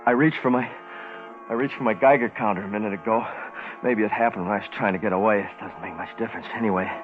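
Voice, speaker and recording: An actor playing a frightened, exhausted man stranded alone, in a halting, breathy 1950s radio suspense drama style. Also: on the low side, natural voice. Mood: drained, despairing. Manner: halting, breathy, haunted, repeating himself. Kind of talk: 1950s radio drama acting, first-person suspense narration. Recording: dull vintage 1950s radio broadcast recording. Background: dramatic music.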